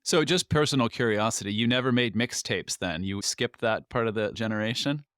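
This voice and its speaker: A man's voice: mid-range voice, a little fast, fairly bright tone, clean tone, dry recording, studio quality. A man co-hosting a podcast, asking curious, enthusiastic follow-up questions. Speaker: podcast co-host. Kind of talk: casual interview chat.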